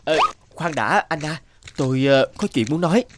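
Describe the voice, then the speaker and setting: An actor playing a shy, heartfelt man in an animated romance, speaking hesitantly and earnestly. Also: a little high, normal speed, noisy background, lightly acted. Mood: nervous. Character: shy, heartfelt man confessing his love. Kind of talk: animated drama voice acting.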